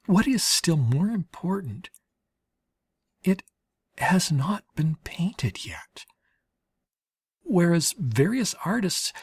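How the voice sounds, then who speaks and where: A woman with a husky, breathy voice narrates literary letters as an audiobook reader, in a measured, expressive way with deliberate pauses. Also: fairly low pitch, dry recording, clean studio sound.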